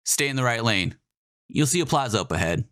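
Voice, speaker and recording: A man's voice: medium pitch, expressive, a little fast, a bit bright, no echo, studio quality, voice pushed up, natural voice. A man voicing a cold, commanding young quarterback who barks curt orders in a storybook horror reading.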